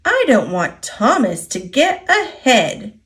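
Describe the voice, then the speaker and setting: A boastful young female steam engine from a storybook read by an American woman. She is eager and impatient, her pitch bouncing around in lively swings. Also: takes it slowly, heavily acted.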